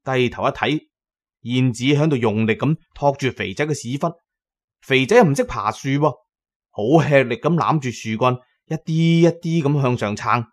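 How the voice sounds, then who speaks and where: A male audiobook narrator with a Cantonese accent and a mid-pitched voice. He tells an adventure dramatically, in a storyteller's delivery with colloquial turns. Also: expressive, normal speed.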